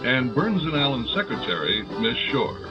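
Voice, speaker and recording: An actor playing a genial, blunt announcer sidekick in an old-time radio comedy style. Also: male voice, mid-range voice, very animated, moderate pace, over music, close to an everyday voice. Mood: formal, genial. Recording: slightly dull radio-style audio.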